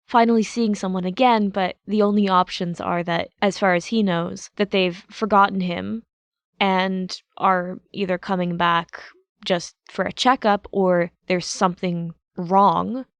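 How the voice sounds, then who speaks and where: A woman's voice: medium pitch, fairly even intonation, measured pace, dry recording. A woman narrating fiction and sharing her reflective thoughts on it as a podfic reader.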